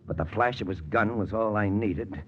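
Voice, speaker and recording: A man's voice: fairly low pitch, very noisy, natural voice. A man playing a tense American intelligence lieutenant, narrating his mission tersely in an old radio drama. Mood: tense, grim. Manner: terse, hard-boiled, deliberate. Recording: muffled old radio recording with music.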